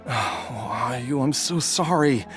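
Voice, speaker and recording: Slow, tender, dazed father. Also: medium pitch, lively intonation, faint music behind. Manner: halting, tender. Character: gentle, apologetic father recovering his memory. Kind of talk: video game voice acting.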